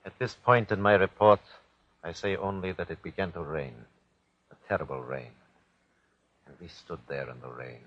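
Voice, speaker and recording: An actor playing a cruel, rattled Nazi army captain, formal and clipped, in a 1940s radio horror style. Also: male voice, low voice, normal speed, long pauses, fairly bright tone. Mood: grim, brooding. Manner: clipped, formal report-giving that breaks into barked orders. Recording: dull, lo-fi vintage radio recording.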